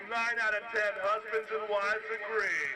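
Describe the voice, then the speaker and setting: A man's voice: high voice, completely flat delivery, measured pace, very noisy. A man playing a showy, mock-wholesome huckster in a parody old-time radio commercial. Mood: cheerful salesmanship. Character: huckster radio pitchman selling a dubious elixir. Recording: loud music under the voice.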